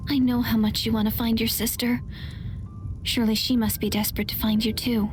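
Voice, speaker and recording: An actress playing an earnest, hopeful young woman in a video game, speaking gently and deliberately. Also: a little high, little pitch movement, background noise, natural voice. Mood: tender, resolute. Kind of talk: video game character acting.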